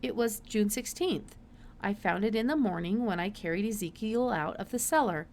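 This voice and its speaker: An earnest young farm boy, read by a woman in a storybook. He explains things plainly and without hurry, over clear background noise. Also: mid-range voice, expressive, normal speed, a little mellow, close to an everyday voice. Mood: matter-of-fact.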